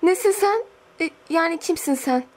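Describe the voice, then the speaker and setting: A woman voicing a haughty, spoiled teenage high school diva in an amateur film dub, bossy and affected. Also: animated, moderate pace, music in the background. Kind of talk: amateur fan dub acting.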